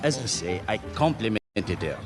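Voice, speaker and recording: An actor playing a charming, flirtatious French ski lodge host in a radio drama, suave and courtly with a French accent. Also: male voice, on the low side, expressive, normal speed, music in the background, lightly acted. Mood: indignant, defensive.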